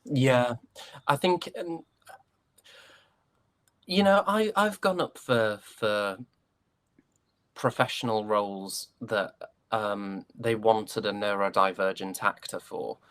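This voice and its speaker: A man's voice: lowish voice, takes it slowly, pauses often, a bit bright, clean tone, no room sound. A man giving casual interview answers as a guest, thoughtful and hesitant. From United Kingdom.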